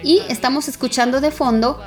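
A woman co-hosting a podcast, introducing songs and guests in a friendly way with small thinking pauses. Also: high voice, fairly even intonation, faint music behind. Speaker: podcast co-host. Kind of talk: radio-style podcast hosting.

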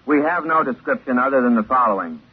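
A man playing a serious highway patrol officer reading a formal bulletin in a 1950s radio drama. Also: lively intonation, quick pace, husky. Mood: official, serious. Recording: muffled, tinny old radio recording.

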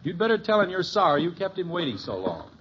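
A wry, kindly middle-aged dad in a vintage radio sitcom. He is firm and lively, with a fairly high voice for a man. The old recording sounds dull. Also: fast talker, background noise. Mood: brisk, firm. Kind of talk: 1950s radio sitcom acting.

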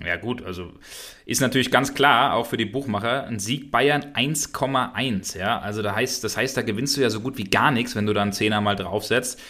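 Fast-talking Hessian man. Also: lowish voice, sharp tone. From Hesse. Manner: chatty, quick, many fillers. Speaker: podcast co-host. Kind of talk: casual football betting chat.

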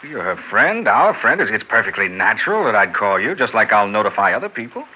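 An actor playing a scheming, persuasive American husband in a 1960s radio drama, smooth-talking but hesitant under pressure. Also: male voice, fairly low pitch, very animated, brisk. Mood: soothing. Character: scheming, smooth-talking husband who plotted his wife's murder. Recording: dull, hissy 1960s radio recording.

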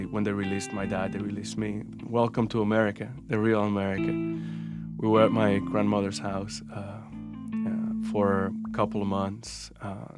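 A man, telling his own life story in a thoughtful, unhurried way full of pauses and fillers. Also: lowish voice. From Cuba. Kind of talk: personal first-person storytelling.

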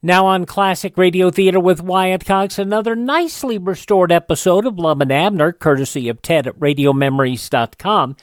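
Man, radio host, high pitch, scripted announcements, presenting vintage shows. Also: moderate pace, hardly any pauses, dry recording, clean studio sound. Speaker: host of classic radio show.

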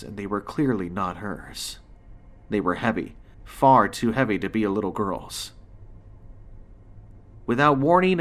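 A male storyteller narrates first-person horror with a medium-pitched voice. He reads from a script in a dramatic way, leaving suspenseful pauses. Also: bright, forceful tone.

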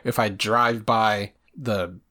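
A man's voice: on the low side, fairly even intonation, slow, bright, forceful tone. A man from Virginia co-hosting a podcast, chatting casually, reflective and teasing, and laughing often. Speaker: podcast co-host. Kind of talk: casual conversation.

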